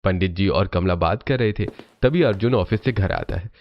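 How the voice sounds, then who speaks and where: A man's voice: low-pitched, moderate pace. A man narrating a scripted cartoon story. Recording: muffled audio over loud music.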